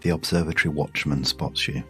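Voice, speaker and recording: A British man narrating a guided sleep meditation story in a hushed, soothing, measured way with long pauses. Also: a bass voice, steady tone. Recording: music behind the voice. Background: music.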